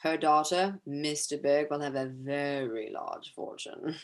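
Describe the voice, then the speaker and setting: A woman playing a charming, aggrieved young gentleman in a staged period drama reading, confiding and theatrical. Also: low voice, steady tone, a little slow. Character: Mister Wickham, charming aggrieved young gentleman, smoothly self-pitying.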